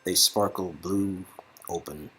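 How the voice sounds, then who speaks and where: A man with a husky voice giving scripted second-person narration for an interactive story. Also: low voice, fairly even intonation, takes it slowly, bright, forceful tone, faint music behind.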